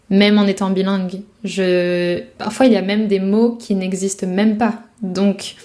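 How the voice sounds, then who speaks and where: A woman's voice: mid-range voice, warm tone. A woman from France, a language coach, talking to the viewer in a reflective, casual way about life abroad. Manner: reflective, speaks directly to the viewer, casual fillers. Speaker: French speaking coach. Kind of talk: advice talk to camera.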